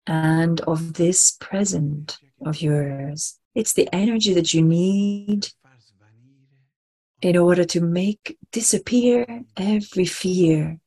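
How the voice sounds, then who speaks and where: A woman guides a meditation at an extremely unhurried pace. Her voice is soft and husky with a mellow, slightly low tone. She is soothing and deliberate, leaving long pauses between repeated phrases. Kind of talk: slow guided relaxation meditation.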